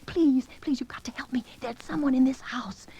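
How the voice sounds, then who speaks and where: A woman's voice: high-pitched, fairly even intonation, normal speed, dark tone, husky, full character voice. An actress playing a nervous, demanding invalid woman in a 1940s radio thriller, agitated and stammering with fear. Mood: terrified. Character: frantic, imperious, nervous invalid woman, alone at night. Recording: vintage 1940s radio broadcast.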